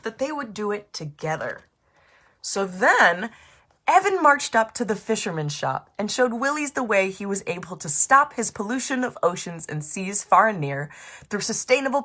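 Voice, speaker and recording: A woman with a mid-pitched voice reads aloud as a children's author. She is expressive and animated, and she speaks straight to young listeners as she tells and explains things simply. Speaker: children's author reading own story.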